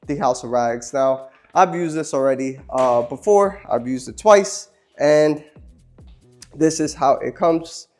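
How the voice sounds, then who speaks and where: A man with a cold who sounds nasal, hosting a casual product demonstration beside a car and explaining things in a relaxed, chatty way with frequent ums and uhs. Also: mid-range voice. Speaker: host. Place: beside a car, cleaning its windshield.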